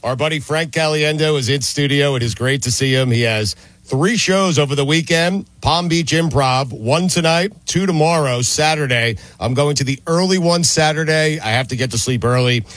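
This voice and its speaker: A man's voice: fairly high pitch, normal speed, a bit bright, no room sound. A middle-aged man with an American accent, hosting a talk show and interviewing in a casual, joking way.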